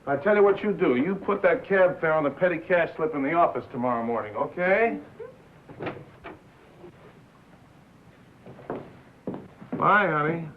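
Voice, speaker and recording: An actor playing a brash, boozy, bitter radio executive in a 1950s film drama, talking in glib, slangy, back-slapping patter. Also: male voice, on the high side, lively intonation, brisk, close to an everyday voice. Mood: glib, dismissive. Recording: tinny, noisy 1950s film soundtrack.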